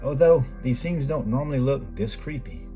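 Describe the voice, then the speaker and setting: A middle-aged American man reading a scripted voice-over in a measured, plain explanatory way, as the host of a photography video. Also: medium pitch. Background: background music.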